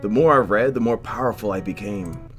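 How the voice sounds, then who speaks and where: A man hosting a game playthrough, reading visual novel narration aloud in an expressive way, stumbling now and then and dropping in joking asides. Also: fairly low pitch, fairly quick, faint music behind. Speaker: host of a video game playthrough.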